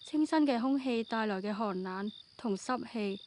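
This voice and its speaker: A woman's voice: on the high side, expressive, moderate pace, a little mellow, slight background noise. A woman, reading scripted narration for an audio drama.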